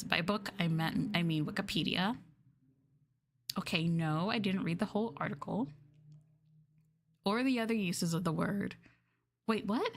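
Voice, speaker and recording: Woman acting a lively, flustered creature girlfriend. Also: medium pitch. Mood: indignant, flustered. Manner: coy, teasing, hesitant, expressive, with pet names. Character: sweet, jealous, flustered boneless creature girlfriend inhabiting her partner. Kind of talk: roleplay script performance, girlfriend audio drama.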